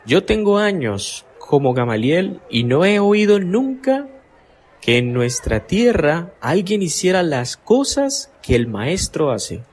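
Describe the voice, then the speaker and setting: A skeptical older townsman who fires off probing questions. His voice rises and falls with lively pitch, and he speaks slowly, with a faint noisy bed behind him.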